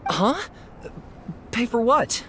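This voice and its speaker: Nervous keen rookie adventurer lad from a game, voice hops up n down, slight background noise. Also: male voice, very high voice, big pitch swings, extremely slow, fairly bright tone, exaggerated voice. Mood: eager. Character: nervous, eager young novice adventurer. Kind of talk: video game voice acting.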